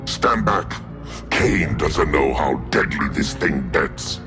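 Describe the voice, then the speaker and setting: An actor voicing a bloodthirsty, mocking demonic male scythe in a game, with a deep gravelly growl. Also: lively intonation, slow pace, music in the background, exaggerated voice. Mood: sneering. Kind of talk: game voice lines.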